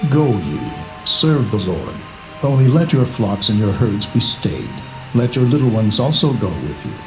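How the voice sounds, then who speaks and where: Proud king of Egypt, stubborn, menacing, commanding, emphatic, dramatised scripture, loud music behind. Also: fairly low pitch, big pitch swings, moderate pace, rough recording. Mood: grudging. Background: prominent background music.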